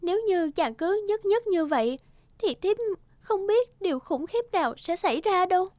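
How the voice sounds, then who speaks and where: An actress playing a gentle young fairy wife in an animated folktale, speaking tenderly and formally. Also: female voice, very high-pitched, moderate pace, no echo. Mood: worried, warning.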